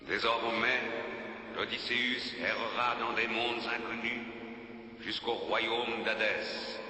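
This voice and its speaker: A man's voice: medium pitch, steady tone, slow pace, slight background noise. An actor playing a mighty, vengeful god in a cartoon, pompous and menacing as he declaims. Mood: solemn menace. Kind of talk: dramatic cartoon villain acting. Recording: cavernous long reverb under loud music.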